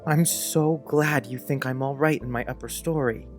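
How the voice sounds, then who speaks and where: A man's voice: mid-range voice, steady tone, normal speed, faint music behind, lightly acted. A man playing an earnest, polite, precocious young schoolboy in an audiobook, speaking in careful old-fashioned phrases. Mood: worried, confiding. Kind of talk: audiobook character acting.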